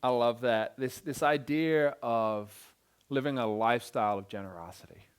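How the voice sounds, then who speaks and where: A man preaching a sermon as pastor, energetic and given to repeating his words as he starts sentences. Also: medium pitch, normal speed, smooth, faint music behind.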